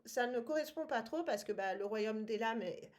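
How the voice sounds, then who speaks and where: Woman co-host, higher voice, halting and chatty. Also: animated. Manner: hesitant, many fillers, laughs often. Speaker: podcast co-host. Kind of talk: casual book-club chat.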